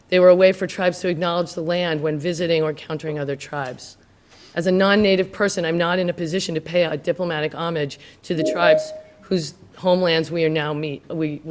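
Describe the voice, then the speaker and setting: A woman moderating a town housing panel, speaking in an earnest, measured and formal way into a handheld microphone in a meeting room. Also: lowish voice. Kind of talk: panel moderation with land acknowledgement.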